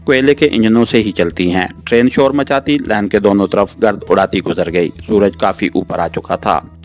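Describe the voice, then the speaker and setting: A man reading a novel aloud as an audiobook narrator, in an earnest, expressive storytelling style. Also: on the low side. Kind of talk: dramatic scripted novel narration. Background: background music.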